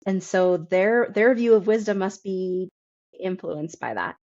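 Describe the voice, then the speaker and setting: A woman's voice: medium pitch, unhurried, darkish tone, dull audio. A woman hosting a reflective interview, thinking aloud in a conversational way and repeating phrases as she forms her thought. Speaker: podcast host, interviewer.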